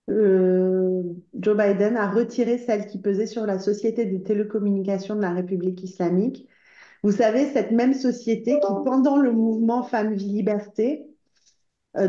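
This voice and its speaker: A woman from Iran giving an impassioned conference speech as an association spokeswoman, urgent and earnest, often pausing on hesitant fillers as she calls the audience to act. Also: mid-range voice, warm tone. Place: conference room. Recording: roomy, slightly dull conference recording.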